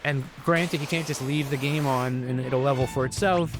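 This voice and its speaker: A man's voice: medium pitch, fairly even intonation, moderate pace. A man giving casual, rambling, explanatory commentary as he plays through a video game. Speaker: gameplay commentator. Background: game music.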